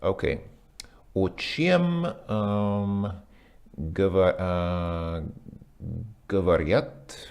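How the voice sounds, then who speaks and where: A man working through a language lesson out loud, hesitant, with many ums and self-corrections. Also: deep voice. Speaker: language learner reviewing a lesson. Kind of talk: casual thinking-aloud commentary.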